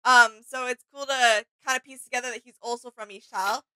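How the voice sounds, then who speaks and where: A woman's voice: fairly high pitch, fairly even intonation, brisk, bright, forceful tone, clear, resonant tone, dry recording, low-quality recording. A young woman co-hosting a reaction video in a casual, conversational style. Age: young adult. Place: small home room with desk, indoors. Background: music.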